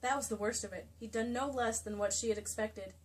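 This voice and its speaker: A woman's voice: fairly high pitch, fairly even intonation, fairly quick. A woman giving a comedic dramatic reading of a novel, mock-theatrical and breaking into casual asides. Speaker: co-host reading a novel aloud. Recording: noisy room, distant microphone.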